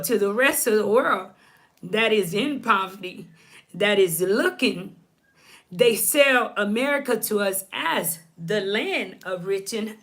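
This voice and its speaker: A woman's voice: mid-range voice, fairly bright tone. A woman from Haiti hosting a live stream, sharing opinions casually and emphatically, repeating phrases for emphasis. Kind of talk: casual opinion talk to viewers.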